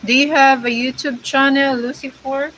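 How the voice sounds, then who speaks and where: A woman's voice: high voice, flat delivery, normal speed, a bit bright, slight background noise, poor audio. A woman from the Philippines with a Filipino accent, chatting casually on her livestream and reading viewer comments in hesitant English full of fillers. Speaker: vlogger hosting a livestream.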